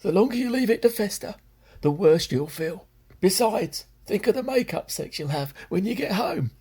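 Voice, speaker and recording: A woman playing a calm, advice-giving train passenger in an amateur radio drama, with a very husky voice. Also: mid-range voice, normal speed. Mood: advising.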